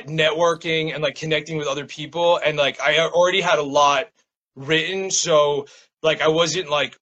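A man's voice: on the high side, pushed and bright. A man in his thirties talking casually as an interview guest, slangy and peppering his speech with "like" and "man". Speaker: interview guest, poet and small-press publisher.

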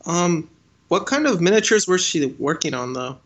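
A man's voice: high voice, expressive, normal speed, fairly bright tone. A man co-hosting a casual analytical chat, thinking aloud and often seeking agreement. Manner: thinking aloud, checking with "right?". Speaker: podcast co-host.

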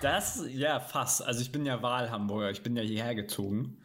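A man's voice: mid-range voice, a little fast, a bit bright. A man hosting a casual interview, asking questions in a hesitant way full of fillers. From Baden-Württemberg.

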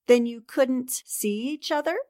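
A woman playing a sharp, commanding newspaper columnist who questions briskly in a 1940s-style radio drama. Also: sits high. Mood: probing.

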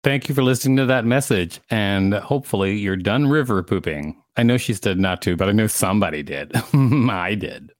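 A man's voice: fairly low pitch, moderate pace, no echo, studio quality. A man co-hosting a podcast, reading an article aloud and adding playful, mock-indignant asides. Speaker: podcast co-host.

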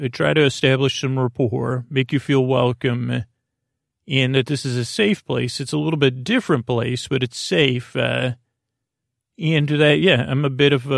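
A man with a creaky voice hosting a sleep podcast, talking in a soothing, meandering monologue that halts and drifts into tangents. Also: mid-range voice, dry recording, studio quality. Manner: meandering, halting, trailing into tangents; soothing, gently lulling. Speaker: podcast host.

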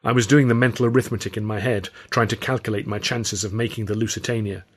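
A British man reading his own novel as an expressive, wry first-person audiobook narration. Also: fairly low pitch, fast.

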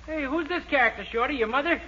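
A very high-voiced boyish young fellow. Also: male voice, quick pace, bright, forceful tone. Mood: brash, cocky. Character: naive young man turned brash skirt-chasing playboy under hypnosis. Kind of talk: 1940s radio comedy acting. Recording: dull, very noisy old radio recording.

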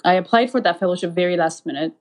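Soft-spoken woman from Ethiopia, halting. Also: fairly low pitch. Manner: halting, many fillers and restarts. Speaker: guest, anesthesiologist. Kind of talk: casual interview.

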